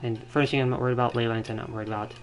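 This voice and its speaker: A man streaming a video game, commenting casually and thinking out loud in a rambling way. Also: medium pitch, steady tone, racing. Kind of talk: casual live gameplay commentary. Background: video game music.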